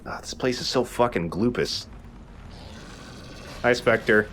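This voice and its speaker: A man's voice: medium pitch, slow, fairly bright tone. A man giving casual, joking, sweary commentary as he plays a video game with friends. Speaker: let's play host playing the game. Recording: faint background noise.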